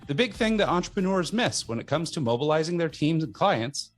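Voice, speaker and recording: A middle-aged man hosting a podcast, reading a cheerful scripted introduction and then chatting casually with quick laughs. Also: a little high, sing-song, soft background music. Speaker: podcast host.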